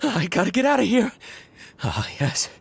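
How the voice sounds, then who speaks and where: A man's voice: high-pitched, sing-song, moderate pace, creaky, a little hiss or hum, full character voice. A man voicing a shaken young herb gatherer in a video game, stammering and jumpy with erratic laughter. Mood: paranoid. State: agitated.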